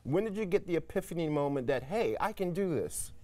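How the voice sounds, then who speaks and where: A man's voice: on the high side, animated, a little fast, darkish tone. A man hosting a live call-in show, presenting and interviewing in an emphatic, showman-like way with repeated phrases. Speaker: TV host.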